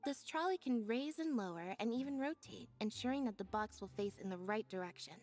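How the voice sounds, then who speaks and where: A composed young female assistant to a magician acted by a woman. Her game-dialogue voice is fairly high and measured in temper, over faint music. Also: lively intonation, darkish tone, close to an everyday voice. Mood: matter-of-fact. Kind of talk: video game dialogue acting.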